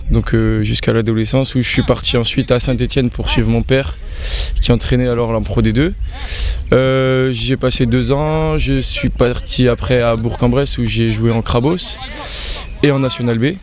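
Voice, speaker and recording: A 19-year-old man from Belgium giving casual interview answers, speaking hesitantly in short phrases with pauses. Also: mid-range voice, fairly even intonation. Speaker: rugby player and youth coach.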